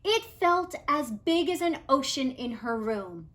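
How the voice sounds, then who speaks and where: A woman reading a children's picture book aloud, expressively, with dramatic pauses and emphasis on key words. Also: high-pitched, big pitch swings, a bit bright. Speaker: read-aloud storybook reader.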